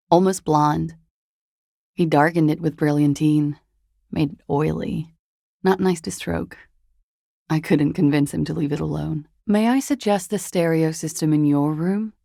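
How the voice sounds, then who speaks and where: Game femme fatale: world-weary, wry, guarded; slow, unhurried pace, mellow timbre, dry close sound. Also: female voice, sits low, creaky, no room sound, exaggerated voice. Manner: languid, hesitant, wry. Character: world-weary, guarded, seductive disco-dancer woman recounting a dead lover. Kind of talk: video game dialogue acting.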